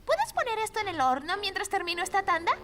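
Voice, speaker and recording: Kindly little girl, children's animation, very high pitch, animated swoops, bubbly. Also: fast, heavily acted. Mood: sweet, helpful. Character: sweet, considerate little girl who adores puppies. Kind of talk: animated children's series dub. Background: background music.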